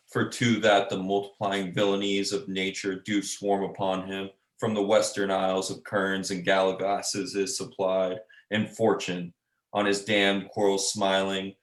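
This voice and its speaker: A man playing a battle-worn sergeant reporting to his king in a measured, declamatory Shakespearean radio play style. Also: deep voice. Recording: echoey room.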